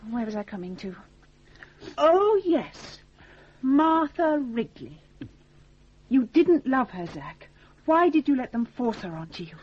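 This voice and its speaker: An actress playing a brisk, forthright young woman who takes charge in a radio drama, firm and commanding. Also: high voice, very animated, darkish tone, exaggerated voice. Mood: scolding, firm. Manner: commanding, firm, crisp retorts. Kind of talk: 1980s radio drama acting. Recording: dull, noisy old radio recording.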